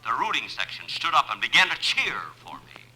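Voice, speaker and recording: A man's voice: mid-range voice, very animated, a little fast. A man playing a boastful college football star narrating his story in a 1950s radio sketch. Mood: proud, reminiscing. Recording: noisy old radio recording.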